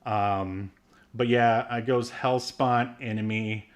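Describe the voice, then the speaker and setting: A man's voice: mid-range voice, steady tone, slow pace. A man hosting a podcast, chatting casually and rambling with frequent fillers. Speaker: podcast host. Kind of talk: casual chat.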